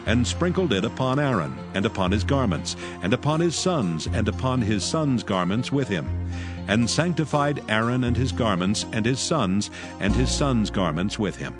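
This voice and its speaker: A man with a fairly low voice narrates scripture solemnly. His phrasing is measured and formal, and prominent music plays behind him. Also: lively intonation.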